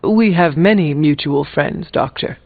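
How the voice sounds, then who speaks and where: An actor playing a stoic, guarded warrior in a video game, speaking in a formal, reserved and deliberate way. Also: male voice, fairly high pitch, gravelly, no room sound. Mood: reserved, courteous. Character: stoic, guarded male demon-hunting warrior, formal and grave. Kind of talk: scripted video game character dialogue. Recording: tinny band-limited game audio over music.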